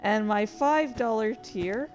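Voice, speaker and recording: A woman's voice: on the high side, slow pace, darkish tone, faint music behind. A woman hosting a livestream, chatting casually and rambling to her viewers in a playful, easily sidetracked way. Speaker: livestream host and streamer.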